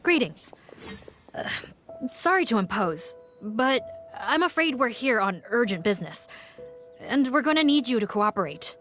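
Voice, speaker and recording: A woman's voice: on the high side, very animated, unhurried, a bit bright, faint music behind. An actress playing a formal, cautious female officer leading her team in a video game. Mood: brisk, businesslike. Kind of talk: scripted video game dialogue.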